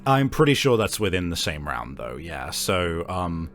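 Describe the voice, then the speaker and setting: A man acting as game master, improvising narration for a tabletop horror game in halting descriptions. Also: deep voice, big pitch swings, a bit bright, faint music behind.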